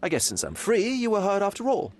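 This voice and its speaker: Sly, theatrical game gentleman, cynical and teasing, wild swoops in pitch. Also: huge pitch swings, fairly quick. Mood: probing. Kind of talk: video game voice acting.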